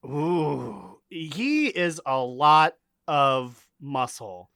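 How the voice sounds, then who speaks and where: A man's voice: a little high, big pitch swings, extremely slow, creaky, fairly bright tone, dry recording, clean studio sound. A man co-hosting a podcast, bantering casually with a bawdy, joking manner. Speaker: podcast co-host.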